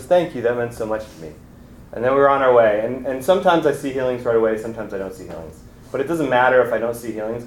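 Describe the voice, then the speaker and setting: Young male grad student, earnest halting testimony, roomy echo around him. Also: mid-range voice, slight background noise. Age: young adult. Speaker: graduate student giving testimony. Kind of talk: personal testimony. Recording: echoey room.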